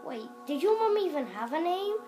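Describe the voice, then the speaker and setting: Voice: very high voice, big pitch swings, normal speed, warm tone. A young-sounding gamer improvising a role-play commentary on a video game, rambling and exclaiming. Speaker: gaming video maker. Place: indoors at home, in front of a television. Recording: camera filming a TV, game music.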